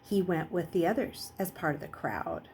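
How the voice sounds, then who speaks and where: Animated woman reading a story aloud. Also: sits low, moderate pace, slight background noise. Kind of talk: expressive audiobook-style narration.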